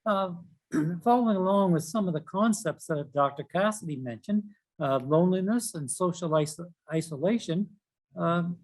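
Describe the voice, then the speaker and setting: A man, speaking as a webinar panelist over a video call, halting with many fillers as he lists examples. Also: on the high side, a little mellow, rough recording. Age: 70s. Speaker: panelist for a retired teachers' group.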